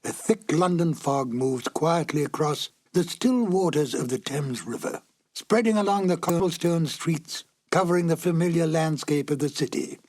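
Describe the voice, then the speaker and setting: Higher-pitched man presenting classic radio drama. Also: expressive, moderate pace, darkish tone. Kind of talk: scripted narration.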